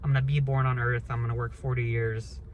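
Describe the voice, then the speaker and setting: A man's voice: medium pitch, flat delivery, fast talker, slight background noise. A man in his thirties talking through a casual, rambling rant, blunt and swearing freely. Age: 30s. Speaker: vlogger.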